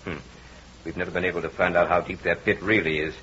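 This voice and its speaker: An actor playing a jealous, cold-blooded murderous rival, with a menacing, deliberate, mock-sympathetic manner in an old radio drama style. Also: male voice, low-pitched, fairly even intonation, fairly bright tone. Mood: falsely soothing. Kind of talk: 1940s radio acting. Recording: muffled, noisy old radio broadcast.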